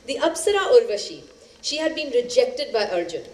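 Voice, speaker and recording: Stage play: spirited epic narrator, animated pitch, echoey room with faint noise. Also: female voice, fairly quick. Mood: engaged. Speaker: stage actor in a two-hander play. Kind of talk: theatrical storytelling of epic tales.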